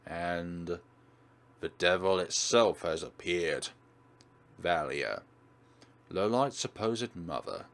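Low-voiced man guiding through a game. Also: expressive, slow pace, vocal fry, long pauses, a bit bright. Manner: instructional, step by step. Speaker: let's play host. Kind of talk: game walkthrough commentary.

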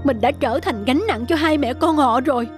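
A man voicing a gentle, despondent cartoon father who blames himself, with music under him. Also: extremely high pitch, normal speed. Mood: dejected, self-blaming. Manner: heavy-hearted, self-reproaching. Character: despondent, gentle painter father losing his sight. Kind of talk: dubbed cartoon dialogue.

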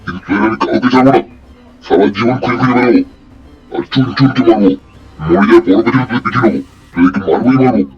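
A man doing a rapid, muffled vow of revenge. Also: lowish voice, lightly acted. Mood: vengeful. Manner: menacing, declamatory. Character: dying man swearing relentless revenge from beyond death.

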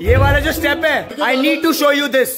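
A young man vlogging in a playful, chatty way to his viewers from a hostel room, with a roomy echo and loud music behind him. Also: extremely high pitch, slow pace. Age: young adult. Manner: playful, addresses viewers as guys. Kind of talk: casual vlog narration.

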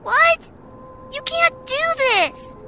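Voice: very high-pitched, expressive, drawn-out words, over loud music, heavily acted. A performer voicing an earnest eight-year-old skeleton little brother in a comic dub, childlike, stammering and emotional. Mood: upset, angry. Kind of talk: dramatic comic dub acting.

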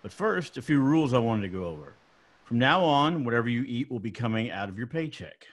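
A man voicing a stingy falsely friendly boss, animated pitch. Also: fairly quick. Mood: smug.

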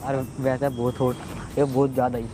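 Young male, coaxing prank chat, flat intonation, buried in loud outdoor noise. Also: medium pitch, brisk. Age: young adult. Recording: very noisy outdoor park, background sounds.

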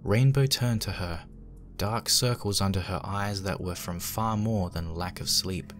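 A man with a low voice narrates a horror story. He reads it as scripted dramatic fiction, with music playing underneath. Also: a little slow.

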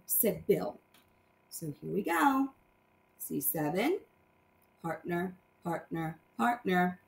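A woman teacher with a mid-pitched voice gives a read-aloud math lesson for small children. Her delivery is lively and instructional, swinging up and down on key words. The room adds noticeable echo. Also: creaky.

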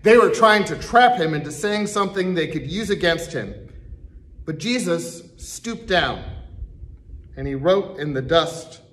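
A middle-aged pastor reads scripture in a church sanctuary, pacing it slowly and leaning on his pauses. His voice sits a bit high for a man. The camera picks him up from a distance. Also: expressive, a bit bright. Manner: deliberate, slow reading with emphatic pauses. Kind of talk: reading scripture aloud in church.

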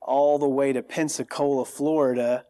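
Even-toned American man telling his testimony. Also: medium pitch, moderate pace. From California, United States. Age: 30s. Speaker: worship leader, programme guest. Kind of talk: telling a personal testimony in a TV interview. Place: TV studio, seated on a sofa. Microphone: lapel mic.

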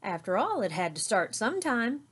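A woman voicing a kindly, reassuring father bear from a children's picture book in an expressive way. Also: on the high side, moderate pace, breathy edge, exaggerated voice. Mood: amused.

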